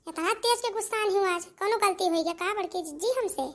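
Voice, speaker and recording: A woman's voice: very high-pitched, fairly quick, a little hiss or hum. A woman voicing a feisty, easily offended village wife in a cartoon comedy, with an Awadhi accent and a rustic scolding manner. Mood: animated.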